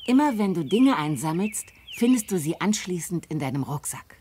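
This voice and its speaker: A woman's voice: on the low side, sing-song, normal speed, noisy background. A woman voicing a caring, welcoming middle-aged farm mother who explains things in a video game. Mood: kindly. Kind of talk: scripted video game dialogue.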